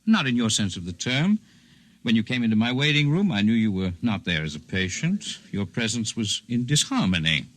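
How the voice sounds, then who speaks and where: An actor playing a calm, erudite alien healer in a 1950s radio drama, speaking in formal, precise, gently condescending phrases. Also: male voice, fairly low pitch, moderate pace. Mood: aloof, measured. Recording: 1950s radio broadcast recording.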